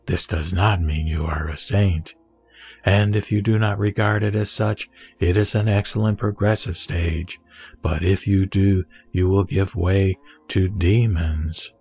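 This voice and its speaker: Male scripture reader, fairly low voice, measured deliberate delivery over faint background music. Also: steady tone, slightly rough. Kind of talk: reading a Buddhist sutra translation aloud. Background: soft background music.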